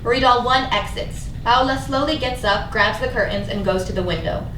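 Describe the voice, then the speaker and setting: Woman, stage directions reader, scripted narration, somewhat high voice, distant mic, roomy echo. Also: fairly quick. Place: live staged reading in a room. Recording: distant mic, echoey room, clear background noise.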